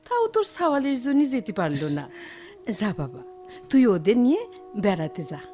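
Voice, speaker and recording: A woman's voice: mid-range voice, wildly swooping pitch, fairly quick, dark tone, soft background music. A woman playing a loving elderly village mother and grandmother in a Bengali audio drama, tender and plaintive, with a rural Bangladeshi accent. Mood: warm, indulgent.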